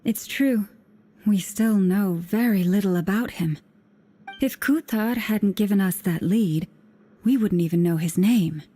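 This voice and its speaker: An actress voicing a thoughtful, serene adult woman in a video game, speaking slowly and in a measured, hushed way. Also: medium pitch, slightly rough, soft background music. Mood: contemplative.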